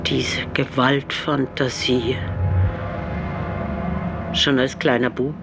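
An actor playing a brooding man, recounting a dark life story in a radio drama. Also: mid-range voice, level tone, drawn-out words, vocal fry. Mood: grave, reflective. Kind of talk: radio documentary drama acting. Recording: music behind the voice.

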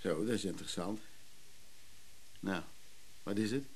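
An actor playing an apologetic, ingratiating antiques hustler in a radio play, smooth but hesitant. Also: male voice, low voice, unhurried, darkish tone, slight background noise. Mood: smooth, placating.

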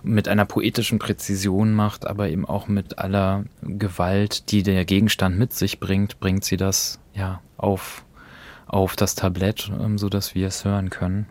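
A man with a very low voice hosts a reflective discussion. His intonation stays flat and his phrasing is careful and measured, with an earnest manner. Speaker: podcast host introducing radio plays.

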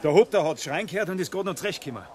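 A man's voice: high voice, lively intonation, very fast, slight background noise. An actor playing a flustered, dutiful male village constable with a Bavarian accent in a radio crime drama. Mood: flustered, urgent.

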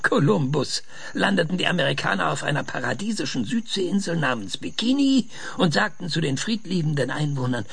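An actor playing an impatient, sarcastic older scientist in a German radio play, lecturing emphatically with rhetorical questions. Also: male voice, fairly high pitch, big pitch swings, brisk, gravelly, a bit bright, slightly rough, no room sound, heavily acted.

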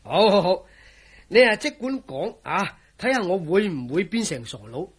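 A man playing a scoffing, jovial friend in a radio drama, teasing with drawn-out exclamations. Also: high-pitched, very animated, breathy edge, heavily acted. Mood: scoffing, amused. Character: scoffing, jovial man, mocking a believer in legends. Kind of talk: Cantonese radio drama acting.

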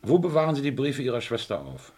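An actor playing a methodical, sceptical police inspector in a German radio crime play, matter-of-fact and firm, with a slightly husky voice. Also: male voice, medium pitch, huge pitch swings, darkish tone. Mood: probing, firm. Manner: matter-of-fact, deliberate, probing.

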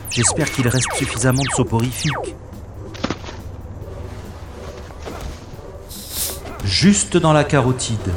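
A man's voice: sits high, reverberant. An actor playing a wisecracking special agent who narrates his own science-fiction adventure in a wry, theatrical way. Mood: straining, effortful. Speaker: lead actor and narrator of an audio drama. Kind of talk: dramatised first-person narration, sci-fi radio play.

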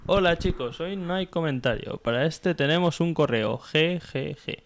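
Brief: male podcast co-host, Catalan accent, jokey banter, slightly higher voice. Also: normal speed, vocal fry. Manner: jokey, laughs often.

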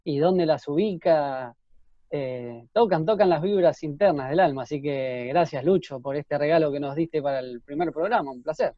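A man's voice: fairly high pitch, normal speed. A man with a Rioplatense Argentine accent co-hosting a live show, speaking casually with effusive thanks. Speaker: radio show co-host. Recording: muffled, echoey room sound.

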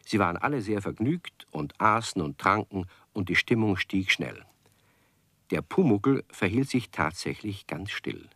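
A man's voice: deep voice, sing-song, a little slow, gravelly, a bit bright, no room sound, heavily acted. A man narrating a children's radio tale as a genial storyteller, explaining things in scripted narration.

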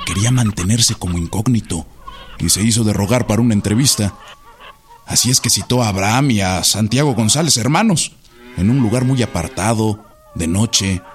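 A man giving scripted historical narration in a radio drama. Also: fairly low pitch.

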